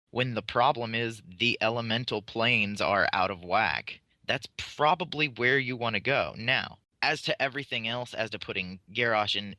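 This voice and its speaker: A man on a podcast panel giving opinions in an emphatic, measured way, with rhetorical questions. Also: lowish voice, bright, forceful tone, dry recording.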